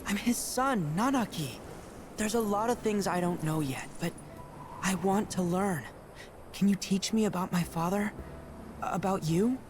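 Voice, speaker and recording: A man's voice: sits high, a little slow, noisy background. A man voicing an earnest young talking beast in a game, plain-spoken and youthful. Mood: earnest, pleading. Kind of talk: video game cutscene acting.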